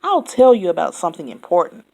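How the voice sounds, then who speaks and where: A woman voicing a chatty male yak taxi driver who never stops talking, loud and indignant. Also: fairly low pitch, wildly swooping pitch, fast talker, creaky, exaggerated voice, low-quality recording. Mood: chattering.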